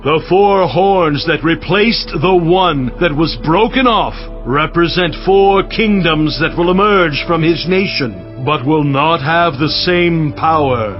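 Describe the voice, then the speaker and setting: An actor playing a commanding angel messenger, declaiming solemnly in a dramatized scripture reading. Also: male voice, on the high side. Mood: solemn, foreboding. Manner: slow, declamatory, portentous. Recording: dull audio under background music.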